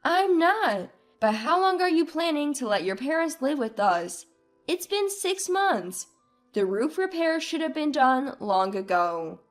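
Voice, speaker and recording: Indignant wife in a story drama, played by a woman, high-pitched, animated, demanding answers. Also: measured pace, faint music behind. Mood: exasperated. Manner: emphatic, questioning, argumentative. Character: indignant, exasperated young wife standing up for herself. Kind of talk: acted dialogue in a narrated family drama story.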